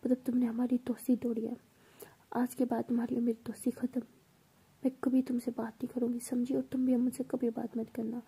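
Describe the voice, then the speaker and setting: A woman's voice: a little high, monotone, brisk, warm tone, husky. A woman whispering as a stern scolding friend.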